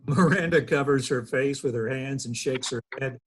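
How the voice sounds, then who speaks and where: A man's voice: mid-range voice, normal speed, breathy edge. An American man reading stage directions as scripted narration for a comedy table read.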